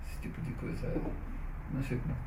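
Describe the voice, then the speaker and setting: A man's voice: a little fast, warm tone. Man telling stories in a roomy space. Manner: chatty, frequent "né?" tags, restarts. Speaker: interviewee, publisher. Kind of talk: relaxed conversational storytelling. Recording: roomy, distant mic, faint noise.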